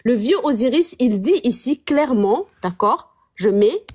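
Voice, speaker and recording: A woman hosting a live stream, commenting on clips and putting rhetorical questions to her viewers in an animated way. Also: on the high side, unhurried, slightly rough.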